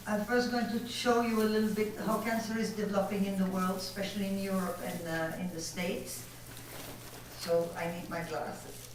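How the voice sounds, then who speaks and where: A woman with a Belgian French accent gives a lecture, halting now and then as she searches for words. Her voice carries from a distance in a very echoey hall, with a faint hum behind it. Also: mid-range voice. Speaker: conference speaker. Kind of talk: lecture with slides. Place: conference hall.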